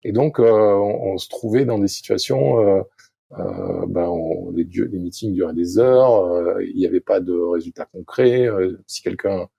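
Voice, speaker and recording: A middle-aged French-speaking man, a sailing coach as podcast guest, talking slowly with many fillers and restarts. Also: low voice, vocal fry, warm tone, slightly rough, dry recording. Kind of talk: podcast interview, thinking aloud.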